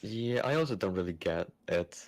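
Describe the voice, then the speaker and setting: Low-voiced man with a Spanish accent. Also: lively intonation, normal speed. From Spain. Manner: easygoing, chuckling, trailing off mid-thought. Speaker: streamer. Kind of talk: casual gaming stream chat.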